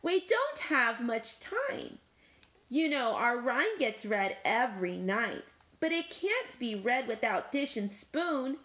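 A woman's voice: on the high side, sing-song, fairly bright tone, pitched above the performer's own voice, heavily acted. A woman voicing a bossy male cat from a nursery rhyme who hurries the others along. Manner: commanding, hurried.

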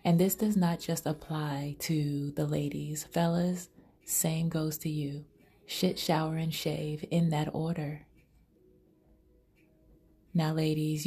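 A woman's voice: deep voice, mellow, husky. A woman hosting her own podcast, improvising erotic storytelling in a sultry, half-whispered, intimate way. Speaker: podcast host.

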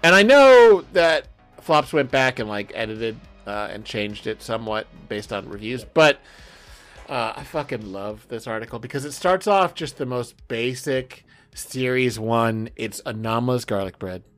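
A man's voice: mid-range voice, lively intonation, measured pace, fairly bright tone, faint music behind. A male podcast co-host chatting casually, enthusiastic and rambling, swearing freely. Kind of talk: casual book-club style chat.

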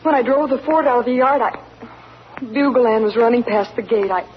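An actress playing an earnest, remorseful young country woman who speaks softly and stammers. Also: on the high side, fairly quick, lightly acted. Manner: hesitant, stammering, soft-spoken. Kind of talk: 1940s radio acting. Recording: dull 1940s radio broadcast, faint hiss.

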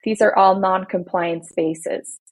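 Husky-voiced woman explaining workers' rights. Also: mid-range voice, fairly even intonation, slow, dry recording. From Belgium. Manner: earnest, explanatory, speaks in long building lists. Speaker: employment attorney guest. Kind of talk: casual podcast interview.

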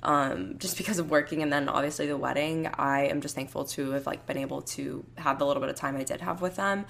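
Young female podcast host, low and gravelly, hoarse; loose rambling chat from home. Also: steady tone, fast talker, a bit bright, a little hiss or hum. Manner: rambling, heavy use of "like" and "um". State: hoarse, raspy voice. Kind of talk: casual solo podcast chat.

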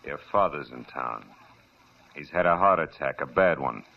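A man's voice: rumbling low, lively intonation, normal speed, creaky, exaggerated voice. A man playing a dry, wisecracking hard-boiled private detective in an old radio drama. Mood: firm. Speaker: narrator and lead. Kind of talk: 1940s radio drama acting. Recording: dull lo-fi old radio recording.

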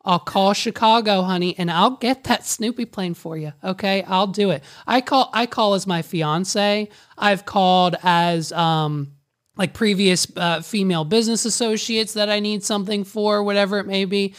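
Raspy-voiced man telling a story. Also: high-pitched, moderate pace. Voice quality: raspy, often heard as a woman on the phone. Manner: animated storyteller, jokey and confiding. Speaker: business owner. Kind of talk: casual conversation.

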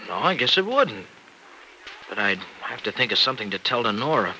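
An earnest, folksy American farm man in an old radio serial. His voice is very bright and swings widely in pitch, over faint recording noise. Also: sing-song, brisk, heavily acted, low-quality recording. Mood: uneasy, hesitant. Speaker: radio drama actor. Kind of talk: classic American radio drama acting.

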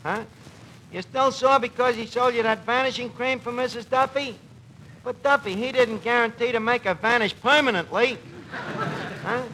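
A bright, high-voiced cocky New York tavern manager in a 1940s radio comedy. He swings through his lines with streetwise, pompous malapropisms and easy gullibility. The old broadcast recording has some background noise. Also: normal speed, poor audio. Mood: chatty, wry. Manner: mangled pompous phrasing, comic delivery.

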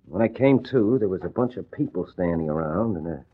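An actor playing a breezy, slangy young newspaper reporter in an old-time radio drama. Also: male voice, deep voice, expressive, a little fast, breathy edge. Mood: sheepish, rueful. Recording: muffled old radio recording.

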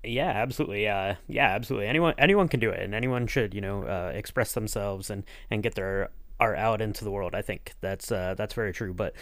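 A man's voice: on the low side, brisk, hardly any pauses, fairly bright tone, dry recording. A man interviewing casually, agreeable and hesitant, circling his way into rambling questions. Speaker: podcast interviewer.

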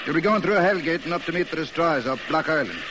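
A man's voice: fairly high pitch, animated, fast talker, lightly acted. Casting: male actor for a hearty harbor pilot, theatrical and swooping, old-time radio with plenty of hiss. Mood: brisk, earnest. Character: jovial Irish-proud harbor pilot, hearty old hand. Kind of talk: 1940s-set classic radio drama acting. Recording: noisy old radio drama recording.

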